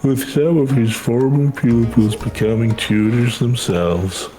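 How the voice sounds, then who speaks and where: A man reading game dialogue as an earnest older schoolteacher, in a measured, formal storytelling manner. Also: medium pitch, fairly even intonation, warm tone, natural voice, poor audio. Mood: earnest, instructive. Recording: music under the voice.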